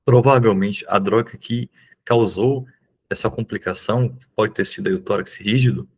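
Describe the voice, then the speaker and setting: A young man from Bahia, Brazil, speaks with a Bahian accent and a husky, creaky voice. He teaches in an informal exam-review style, explaining step by step. His delivery halts often and checks in with the listener. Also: fairly low pitch. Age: young adult. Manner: halting, explanatory, with checking tags. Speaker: neurology resident and exam prep teacher.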